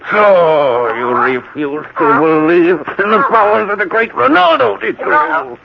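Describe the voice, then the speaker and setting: An actor playing a vengeful undead magician in old-time radio horror, speaking in a menacing, theatrical, deliberate way. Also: male voice, on the high side, gravelly, exaggerated voice. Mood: gloating fury. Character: vengeful undead executed magician back from the grave. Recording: muffled, hissy old radio recording.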